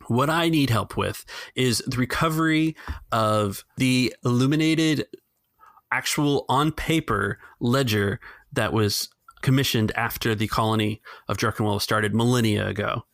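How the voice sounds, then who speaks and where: A man's voice: medium pitch, creaky, fairly bright tone, no echo, clean studio sound. A man narrating a tabletop game as game master, talking in a hesitant, rambling way full of fillers.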